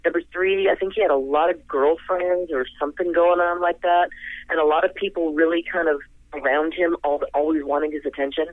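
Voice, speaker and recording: A woman's voice: low-pitched, fairly even intonation, a little fast, dry recording. An older woman, a singer, chatting as a guest in an interview, talking effusively and rambling with frequent laughs, heard over the phone. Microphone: telephone. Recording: tinny phone line, dry.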